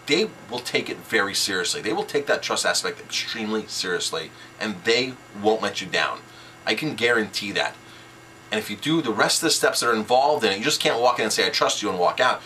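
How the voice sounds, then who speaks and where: A middle-aged man speaks in a bright, forceful voice that sits a little low. He coaches informally like a seasoned owner, hammering points home with short repeated sentences. There is faint noise in the room behind him. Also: sing-song, fairly quick, poor audio. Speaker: restaurant owner teaching business tips. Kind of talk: informal instructional talk to camera.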